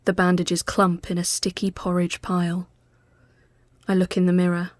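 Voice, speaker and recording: A woman reading a literary ghost story as scripted narration, measured and often hushed as phrases end. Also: lowish voice, monotone, dry recording.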